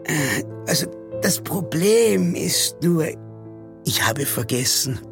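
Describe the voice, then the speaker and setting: An actor playing a formal, forgetful master of ceremonies in an absurdist radio play, ceremonious and halting. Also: male voice, fairly high pitch, animated, vocal fry, music in the background, exaggerated voice. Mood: formal, flustered.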